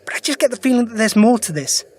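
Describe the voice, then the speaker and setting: An actor playing a sharp, principled alien adventurer in an audio drama, brisk and inquisitive. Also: male voice, very high voice, animated, breathy edge, full character voice. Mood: thoughtful, brisk. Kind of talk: full-cast audio drama acting.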